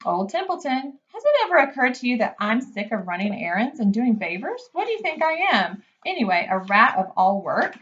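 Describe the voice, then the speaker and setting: A woman's voice: fairly high pitch, very animated, a little fast, hardly any pauses, a bit bright, dull audio. Woman voicing a grumbling, sarcastic old storybook rat. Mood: boastful grumbling. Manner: mocking, complaining. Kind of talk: children's storybook character reading.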